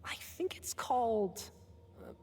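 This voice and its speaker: A woman's voice: fairly high pitch, huge pitch swings, takes it slowly, creaky, breathy edge, big-room sound, exaggerated voice. A woman voicing a friendly, casual young opera fan in a video game, chatty and a little hesitant.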